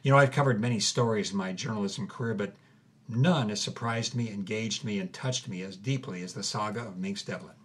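A man's voice: fairly low pitch, a little fast, a bit bright, slightly rough. Earnest man hosting a documentary podcast. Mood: sincere. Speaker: host, narrator. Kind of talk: scripted podcast narration in audio drama. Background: music under the voice.

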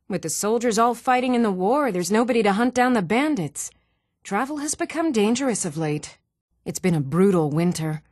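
A woman playing poised, articulate townswomen and noblewomen in a fantasy video game, with measured, formal delivery. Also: fairly low pitch, a little mellow, dry recording, studio quality. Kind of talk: scripted fantasy game dialogue.

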